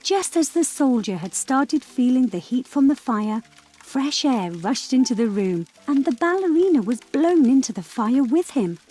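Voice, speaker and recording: A woman storyteller narrating a scripted fairy tale for children in a measured, expressive way. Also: high-pitched, husky.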